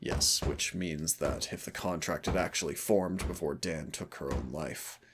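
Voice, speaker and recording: A man playing a teasing but stern demon hunter in an audio roleplay, speaking deliberately with dramatic pauses in a gravelly, husky voice. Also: rumbling low, noisy background. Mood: grave, wry. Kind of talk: audio roleplay acting, intimate second-person dialogue.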